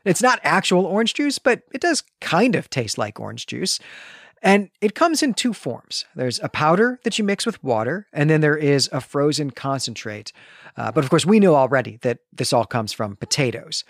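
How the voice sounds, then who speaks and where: A man hosting a podcast, explaining a book in a measured, discursive way. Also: on the high side, very animated, no room sound, clean studio sound. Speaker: podcast host. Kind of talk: literary discussion.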